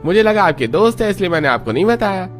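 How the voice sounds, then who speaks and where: Respectful cartoon servant-driver, male actor, deferential, higher male pitch, music underneath. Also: sing-song, quick pace. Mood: apologetic. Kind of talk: cartoon story dialogue.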